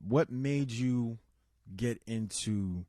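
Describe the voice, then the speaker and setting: A man hosting a casual, unscripted podcast, talking in a loose, rambling way, restarting sentences and giving shout-outs to listeners. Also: mid-range voice, drawn-out words, no echo, clean studio sound. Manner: rambling and conversational, with many restarts and asides. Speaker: podcast host.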